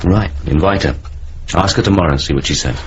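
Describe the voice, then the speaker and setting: An actor playing a wry, laconic, supportive husband in a naturalistic radio drama. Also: male voice, low-pitched, fast talker, natural voice. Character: laconic, wryly supportive male husband or partner. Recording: muffled, noisy old radio broadcast.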